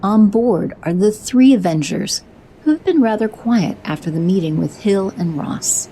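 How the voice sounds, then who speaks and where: Lady narrating an audio drama, mellow low voice, measured. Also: lively intonation, a little hiss or hum, poor audio. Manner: measured storytelling.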